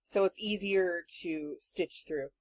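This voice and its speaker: A woman's voice: on the low side, slow, low-quality recording. Casual, sweary woman podcaster. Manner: animated, sweary, rambling storytelling. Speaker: podcast host. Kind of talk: casual chat.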